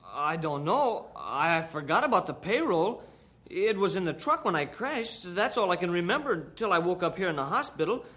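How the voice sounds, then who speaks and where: Scared earnest kid just grown, high voice, really up and down, american, old radio play. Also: male voice, sing-song, moderate pace, pushed and bright, full character voice. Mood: pleading. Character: earnest, frightened young man seeking belief. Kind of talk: old-time radio drama acting.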